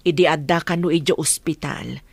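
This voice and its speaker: A woman's voice: deep voice, fairly even intonation, normal speed, gravelly, breathy edge, no echo, full character voice. A woman acting a frank, consoling friend in radio drama, with an Ilocano accent.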